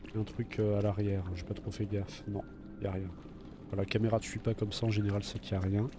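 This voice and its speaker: Low-voiced man with a slight cold. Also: flat delivery, quick pace, music in the background. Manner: chatty, muttering to himself while playing. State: a bit of a cold. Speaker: let's play gamer. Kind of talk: casual gameplay commentary.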